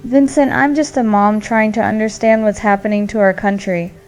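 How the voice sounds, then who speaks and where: A woman's voice: mid-range voice, steady tone, moderate pace, a little mellow, soft background music, close to an everyday voice. A woman playing a saddened, bewildered mother calling in to a radio show. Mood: sad, bewildered. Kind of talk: radio call-in drama acting.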